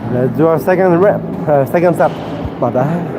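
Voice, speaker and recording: A man's voice: on the high side, normal speed, rough recording. A young man from Quebec, a native French speaker with a Quebec French accent, chatting casually on a live stream in a gym and grunting between sets. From Quebec, Canada. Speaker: livestreamer. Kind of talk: casual live-stream chat while working out. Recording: music playing in the background.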